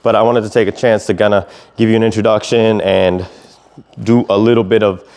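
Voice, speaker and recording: A man giving an instructional talk as a dog trainer, explaining things and using clear, short commands and praise. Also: fairly low pitch, little pitch movement, brisk, darkish tone.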